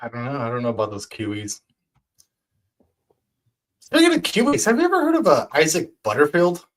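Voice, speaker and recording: Lively teasing man in a chat. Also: fairly high pitch, brisk. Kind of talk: casual chat.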